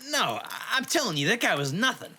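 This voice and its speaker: An actor playing a brash, boastful young half-demon man with a gruff, defensive manner in a fan audio drama. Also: high voice, sing-song, a little fast, pushed and bright, exaggerated voice. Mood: indignant. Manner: cocky, defensive, gruff bragging.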